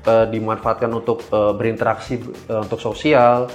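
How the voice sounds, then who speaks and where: A man, answering casually as a podcast guest, hesitant and full of filled pauses. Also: lowish voice, level tone, highs cut off, soft background music. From Indonesia. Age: 20s. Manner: hesitant, halting delivery with frequent filled pauses. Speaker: guest cyber security engineer.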